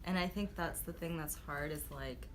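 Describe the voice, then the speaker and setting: A woman's voice: low-pitched, normal speed, slight background noise. An American woman in casual conversation who agrees often and reflects on what is said. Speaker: podcast co-host.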